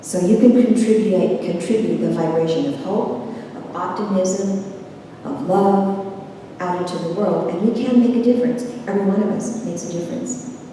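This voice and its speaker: A woman's voice: medium pitch, moderate pace, dark tone. A woman gives an earnest, encouraging inspirational talk as a speaker at a spiritual service. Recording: echoey hall, distant mic, faint noise.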